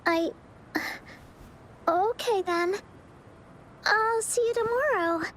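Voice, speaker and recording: A woman's voice: very high voice, drawn-out words, fairly bright tone, a little hiss or hum, full character voice. A woman voicing a small, hesitant young child in a video game. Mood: hesitant, shy.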